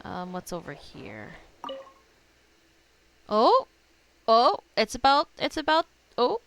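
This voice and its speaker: A woman's voice: on the high side, wildly swooping pitch, slow, vocal fry, fairly bright tone, a little hiss or hum, low-quality recording. A woman streamer giving casual, slangy game commentary, often talking to herself as she plays. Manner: informal, slangy, self-talking. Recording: faint game music behind.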